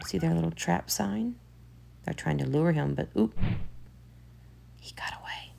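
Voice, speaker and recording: A woman teacher reading a children's picture book aloud, expressive, with soft whispered asides. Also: low-pitched, unhurried, gravelly, a little hiss or hum.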